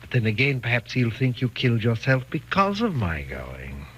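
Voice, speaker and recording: An actor in a 1940s radio thriller playing a menacing, controlling husband, deliberate, insistent and falsely tender. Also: male voice, mid-range voice, huge pitch swings, a bit bright. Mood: cold, mocking. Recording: dull, faintly noisy 1940s radio recording.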